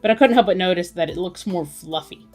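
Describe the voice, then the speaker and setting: A woman's voice: lowish voice, fairly quick, darkish tone. A woman chatting casually as she draws on a livestream, thinking aloud in a musing way. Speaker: artist hosting a drawing livestream. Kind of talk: casual chat while drawing. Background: music.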